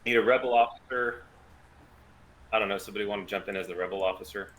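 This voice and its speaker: Low-pitched man over clear background noise. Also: fast talker, fairly bright tone. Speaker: writer and organiser of the table read. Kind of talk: casual chat directing a group read. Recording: noisy group online call.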